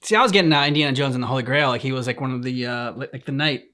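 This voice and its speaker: A man's voice: medium pitch, very fast, pushed and bright. A man co-hosting a reaction show, giving casual commentary in a hesitant, meandering, conversational way. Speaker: co-host of a reaction channel. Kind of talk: casual chat, commentary on game cutscenes.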